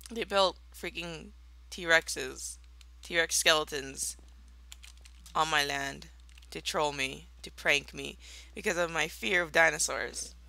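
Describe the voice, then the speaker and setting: A young woman with a very bright, sharp-edged voice, chatting through her block-building game with playful, mock-villainous theatrics. She sounds a touch raspy, like she has just rolled out of bed. The sound is close and dry, with no room around it. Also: deep voice, slow, no echo. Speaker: Minecraft let's player. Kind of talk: casual gameplay commentary.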